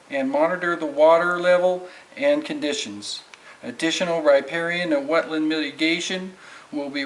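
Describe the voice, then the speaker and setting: A man's voice: a little high, slight background noise, close to an everyday voice, poor audio. A man playing a skeptical, indignant environmental campaigner in a scripted amateur puppet show.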